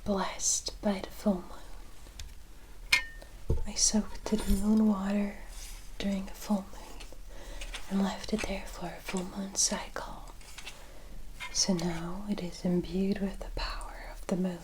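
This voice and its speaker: A breathy, whispered voice belongs to a kindly forest witch played by a woman. She speaks very slowly and deliberately, with a soothing, knowing manner suited to an ASMR roleplay. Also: medium pitch, level tone, close to an everyday voice, poor audio. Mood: calm, mysterious. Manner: slow, hushed, soothing, deliberate.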